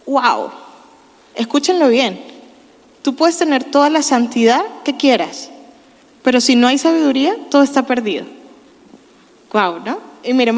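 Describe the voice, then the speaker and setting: Woman in her thirties, fairly high voice, chatty church host, long hall reverb. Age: 30s. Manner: chatty, jokey, exclaims often. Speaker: host of a church youth panel. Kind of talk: casual church talk.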